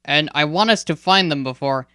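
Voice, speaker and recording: A woman voicing a calm, serious man in robes who speaks earnestly and urgently. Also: low-pitched, moderate pace, clear, resonant tone, no room sound, studio quality.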